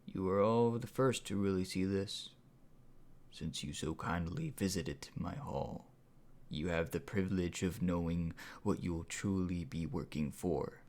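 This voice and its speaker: A man voicing a calm, menacing would-be king in an audiobook, speaking slowly and softly with deliberate breathy menace. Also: low-pitched, voice pushed down. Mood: smug. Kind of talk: audiobook character voice.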